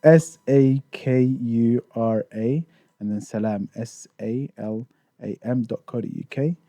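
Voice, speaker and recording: A man's voice: medium pitch, unhurried, dark tone, dry recording. A man raised in the UK, talking casually as a podcast guest about touring in Japan, with many restarts and fillers. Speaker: guest, Japan tour organizer.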